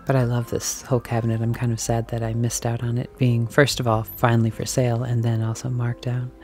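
Woman vlogger, mellow tone, chatty shop walk-through, faint music underneath. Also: rumbling low, completely flat delivery, a little fast. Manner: chatty, musing aloud, drifting into soft whispers. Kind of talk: casual walk-and-talk commentary. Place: large indoor antique and thrift shop. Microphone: handheld camera mic, filming as she walks.

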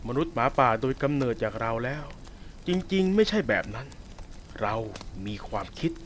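A man voicing a sadistic, possessive werewolf who taunts a captive listener in a roleplay audio drama. He speaks softly and teasingly, with a menacing edge. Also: mid-range voice, animated, normal speed, a little hiss or hum, lightly acted. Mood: taunting.

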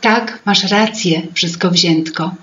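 A woman voicing a chatty female fairy-tale coffee-pot, a placating sister who gently complains. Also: fairly low pitch, slow pace, lo-fi sound. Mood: agreeing, chatty.

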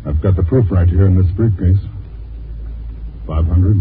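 An actor with an American accent playing a shady, insistent private detective in a 1950s radio drama. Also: male voice, sits low, steady tone, unhurried. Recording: muffled, noisy old 1950s radio recording.

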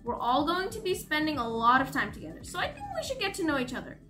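A brisk male schoolteacher , acted by a woman in an audiobook, calling a class to order. The delivery is commanding and forceful, with lively rises in pitch. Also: soft background music, heavily acted. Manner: commanding, announcing. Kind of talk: audiobook character dialogue.

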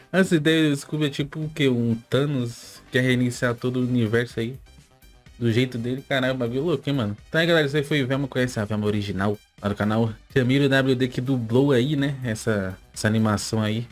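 A young Brazilian man hosts a reaction video in a mid-pitched voice. He talks casually in slang with chuckling asides, close to the mic, over faint music. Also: fairly quick. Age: young adult. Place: small indoor gaming setup. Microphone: close desk mic with headphones.

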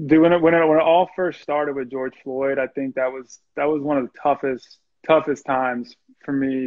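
A man's voice: medium pitch, highs cut off. A young man, a musician guest, speaking earnestly and reflectively in a casual video-call interview. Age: young adult. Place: small room indoors. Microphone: video call, earbuds.

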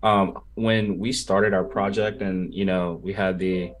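A man being interviewed as a startup founder over a video call, explaining things casually and haltingly with many pauses. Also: deep voice, steady tone, a little hiss or hum. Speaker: interview guest, crypto project founder. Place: home room with gaming chair, on video call. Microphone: desktop microphone on a video call.

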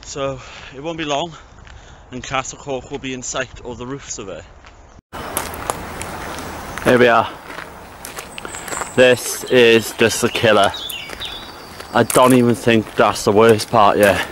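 A man's voice: medium pitch, steady tone, slow, long pauses, noisy background. A man in his twenties with a South Wales accent, chatting informally and thinking aloud as he films a walking vlog. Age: 20s. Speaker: hiking vlogger. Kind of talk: casual vlog commentary while walking. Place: outdoors on a woodland hiking trail. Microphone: handheld camera at arm's length. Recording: outdoor walking vlog with music behind. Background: music.

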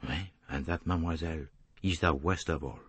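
A man voicing a gentle older male detective, measured and formal, in an audiobook novel. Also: extremely low pitch, fairly even intonation, breathy edge, no room sound, heavily acted. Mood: gentle, grave.

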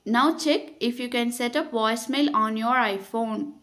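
A woman reading scripted step-by-step tutorial narration in a measured, instructional way. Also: fairly high pitch, fairly bright tone.

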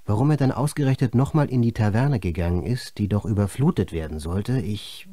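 An actor playing a film director's baffled, subdued assistant, answering earnestly and hesitantly as an interviewee in a radio play. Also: male voice, fairly low pitch, expressive, brisk, warm tone, no room sound, studio quality.

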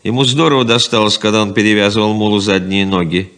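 A man narrating a radio play from a script in a measured, literary manner. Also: on the low side, fairly even intonation, a bit bright.

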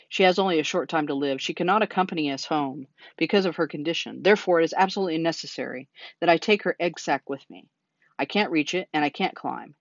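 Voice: medium pitch, no echo, muffled recording, close to an everyday voice. A reader voicing an earnest, emotional young male pig from a children's storybook in a pleading, dramatic way. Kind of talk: children's storybook character reading.

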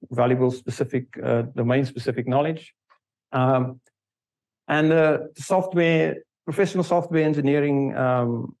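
A middle-aged man presenting at a conference in a lecture room, speaking haltingly and often correcting himself. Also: medium pitch, a little mellow, rough recording. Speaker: conference speaker, research software engineer. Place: university lecture room, indoors.

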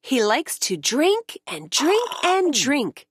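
Woman reading rhymes, very lively pitch. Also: slight background noise. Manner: expressive, deliberate storytelling for children. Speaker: children's book reader. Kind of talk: read-along picture book narration, rhyming verse.

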